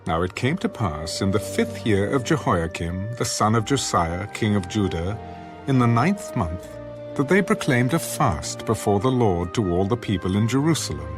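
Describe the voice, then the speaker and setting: A man with a lowish voice narrates scripture formally, in measured phrases. His intonation is lively and expressive, and music plays clearly behind the scripted reading.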